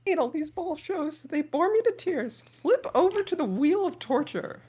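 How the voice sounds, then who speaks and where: Woman doing a whiny bossy lil fairy tale critter, real high, jumpy voice. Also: expressive, normal speed, darkish tone. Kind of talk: live comedic table read.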